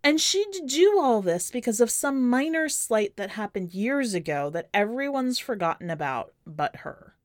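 A woman's voice: fairly high pitch, very animated, a little slow, few pauses, dry recording, clean studio sound. A woman hosting a podcast and reading a first-person short story in a wry, conversational and emphatic way. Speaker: podcast host and story reader.

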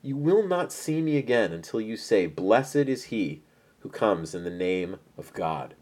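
A man voicing Jesus as a bold, authoritative teacher in his thirties, speaking with measured, solemn emphasis in a dramatized Bible story. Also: on the high side, very animated, voice pushed up. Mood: sorrowful.

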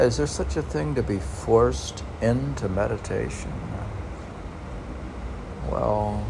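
A man reading poetry and commenting on it informally in a halting, musing, self-questioning manner. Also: on the low side, creaky, noisy background. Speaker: poet reading and commenting on own poems.

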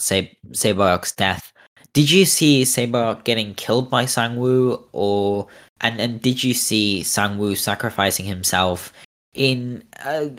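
Slow, low-voiced man recapping a show. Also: fairly bright tone. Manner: hesitant, many fillers and restarts. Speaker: podcast co-host. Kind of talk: casual recap of a TV series.

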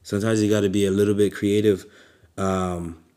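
A man from Uganda giving a casual motivational talk in a relaxed, halting way, with fillers and frequent laughs. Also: on the low side. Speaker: motivational speaker.

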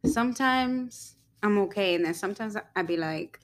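A young woman co-hosting a casual podcast, talking in a rambling way with many fillers and quick giggles. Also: mid-range voice. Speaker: podcast co-host.

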